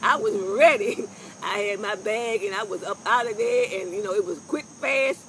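An older woman with a loud voice, giving frank, rambling workplace advice to a phone camera outdoors, with salty asides. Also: medium pitch, moderate pace, slight background noise, low-quality recording. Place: outdoors on a lawn by trees. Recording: faint insects and crickets outdoors.

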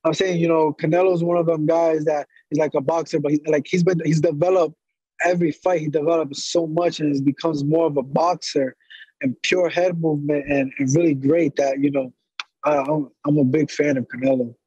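A man's voice: on the high side, fairly even intonation, brisk, mellow, husky, no room sound. A young man, a boxer being interviewed, talking casually and repeating himself over earbuds on a video call. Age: young adult. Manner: easygoing, repeats phrases. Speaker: boxer guest. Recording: dull lo-fi call audio.

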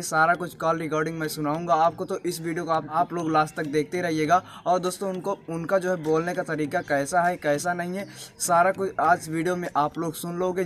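A man's voice: a little high, a bit bright. A young Indian man in his late teens talking casually to camera as a video host. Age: young adult, late teens. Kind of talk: casual vlog talk to camera. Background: music.

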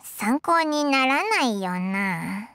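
A woman voicing a tiny childlike fairy companion in a video game, chirpy, blunt and excitable. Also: a little high, takes it slowly, smooth, dry recording, clean studio sound, exaggerated voice. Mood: cheerful, frank. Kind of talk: Japanese game voice acting.

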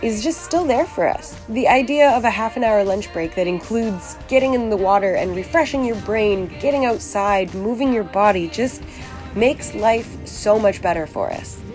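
A woman narrates in a calm, reflective voice-over at a medium pitch. Soft music runs quietly behind her. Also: moderate pace. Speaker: vlogger narrating.

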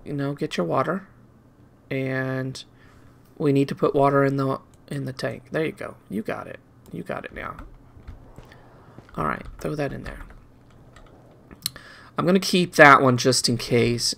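A man's voice: mid-range voice, moderate pace, creaky, long pauses, a bit bright. Rambling male gamer with a crackly voice. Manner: rambling, muttering to himself, playful. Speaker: video game streamer. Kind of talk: casual gameplay commentary.

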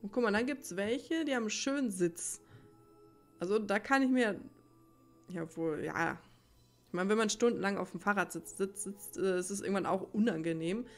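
A woman's voice: medium pitch, normal speed. A woman giving casual let's play commentary, rambling and thinking aloud as she plays. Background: faint game music.

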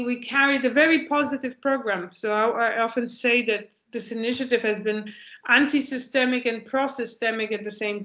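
A woman speaks in a fairly high voice, working through her ideas and often restarting phrases. She is on a laptop mic in a room at home, and the sound is echoey and dull. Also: fairly bright tone. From Poland. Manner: thoughtful, restarts phrases while searching for words. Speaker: lecturer. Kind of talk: conversational lecture answer. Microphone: laptop mic on video call. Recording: echoey, dull lo-fi video call.

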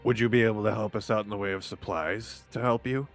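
A man's voice: lowish voice, fairly even intonation, quick pace, faint music behind. A man playing a tabletop role-playing game, chatting casually.

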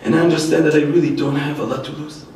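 A man's voice: a little high, level tone, fast, darkish tone. A man from Israel with an Israeli accent and Hebrew as his first language, telling a personal story to an audience as an activist speaker, recorded from a distance in a church. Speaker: activist speaker, former soldier. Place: large indoor church. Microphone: distant microphone. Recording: echoey church, distant mic, faint noise.

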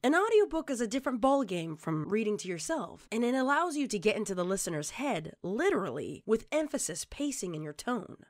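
A woman reading scripted tutorial narration in a measured, instructional way, like an online narrator presenting tips. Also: a little high, big pitch swings, no echo, clean studio sound.

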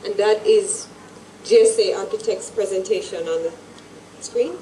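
A woman's voice: medium pitch, mellow, distant mic, slight background noise. Formal woman, echoey hall. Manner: measured, formal delivery. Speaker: event presenter. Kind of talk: formal scripted presentation read aloud. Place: large indoor event hall with stage and audience. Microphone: handheld microphones at a lectern, through PA.

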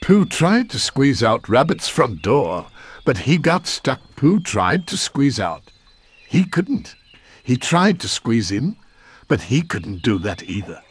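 A man narrating a children's story in an expressive read-aloud style. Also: medium pitch, slow pace, slightly rough.

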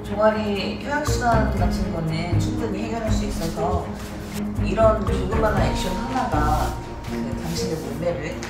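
A woman's voice: mid-range voice, moderate pace. Woman stretching instructor in an echoey room. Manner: patient, explains step by step, laughs easily. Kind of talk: instructional demonstration. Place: bright living room with wooden floor. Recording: echoey room, distant mic, loud music bed.

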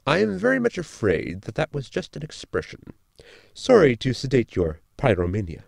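An actor playing an articulate, bookish science officer in a sci-fi comedy audio drama, speaking formally and precisely. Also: male voice, mid-range voice, huge pitch swings, normal speed, creaky, darkish tone, no echo, studio quality, full character voice. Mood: dry, polite. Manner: formal, precise, long-winded.

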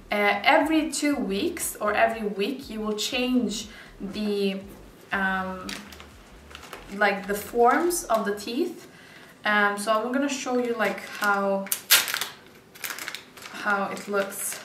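Chatty young Serbian woman in a bright room. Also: medium pitch, a little hiss or hum. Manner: chatty, laughing, many likes and ums. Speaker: beauty vlogger. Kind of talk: casual talk to camera. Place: bright living room with sofa and plants. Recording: roomy echo, slightly distant mic.

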